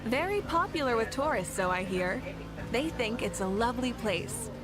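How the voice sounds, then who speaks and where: A woman's voice: a little high, animated, normal speed, natural voice. An actress playing a confident, fun-loving woman warrior leader in a video game, bold and breezy in manner. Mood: upbeat, confident. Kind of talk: video game character acting. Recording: game music behind the voice.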